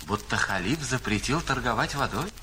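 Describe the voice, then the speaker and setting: An actor playing a caliph disguised as a curious dervish who asks probing questions, in an audio fairy tale. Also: male voice, medium pitch, lively intonation, moderate pace, reverberant, background noise, close to an everyday voice. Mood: sly.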